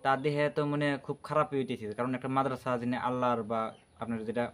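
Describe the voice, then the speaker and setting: A man's voice: mid-range voice, fairly even intonation, fast. A man giving informal commentary on a recording.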